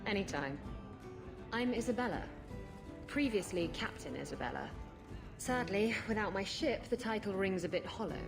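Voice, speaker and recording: An actress playing a brazen, flirtatious pirate captain in a fantasy video game, with a sultry, teasing manner. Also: female voice, medium pitch, lively intonation, normal speed, long pauses, music in the background, natural voice. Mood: teasing, bold.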